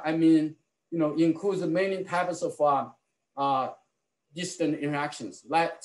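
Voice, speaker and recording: A middle-aged man gives an academic keynote lecture over a video call, in a measured, halting delivery with many fillers and restarts. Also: fairly high pitch, low-quality recording. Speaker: keynote speaker, ecologist. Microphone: video call webcam.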